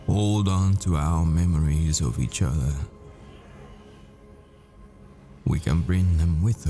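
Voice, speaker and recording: A man playing a tender, desperate boyfriend, a secretive professor, in an intimate, halting, wistful audio roleplay monologue. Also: deep voice, mellow. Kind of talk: intimate ASMR-style dramatic roleplay monologue. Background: music.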